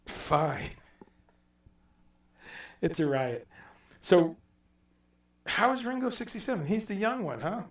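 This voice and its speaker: Male livestream host, chatty and rambling, raised gravelly voice, echoey room with the mic a bit far off. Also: fairly high pitch, fairly bright tone. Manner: rambling, reads out viewer comments, swears casually. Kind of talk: casual livestream chat.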